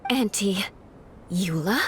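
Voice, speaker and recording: A woman's voice: mid-range voice, lively intonation, takes it slowly, slight background noise. A woman voicing a proud but kind aristocratic young knight captain with formal, composed, haughty diction in a game. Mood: puzzled. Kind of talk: game voice acting.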